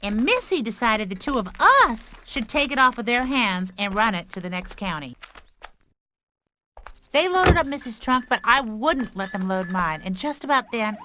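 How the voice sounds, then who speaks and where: A woman's voice: a little high, moderate pace, slight background noise. A woman playing a spirited, earnest young country woman with a Southern US accent in a video game cutscene. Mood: rueful. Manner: expressive, emphatic storytelling.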